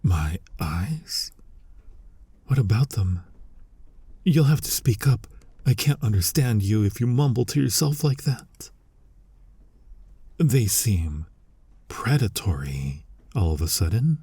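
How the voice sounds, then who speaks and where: A man playing a flirty, dominant incubus demon in an intimate ASMR roleplay, teasing the listener in a husky, breathy voice that often drops to a whisper. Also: lowish voice, big pitch swings, dark tone, no room sound, full character voice. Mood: amused, teasing. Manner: slow, sultry, teasing, addressing listener directly. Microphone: close mic, dry.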